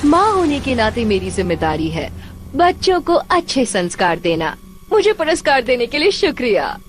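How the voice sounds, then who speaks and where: A woman playing a strict, nagging mother in an animated film dub, with a bossy, precise, insistent manner. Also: fairly high pitch, moderate pace, slight background noise. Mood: proud. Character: strict, nagging, dutiful mother of a young boy.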